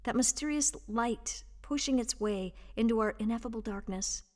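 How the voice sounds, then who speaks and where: Female reader for audio narration: higher-pitched voice, measured and expressive storytelling delivery. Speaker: volunteer reader and host of an audio reading service. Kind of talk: reading a personal essay aloud, scripted narration.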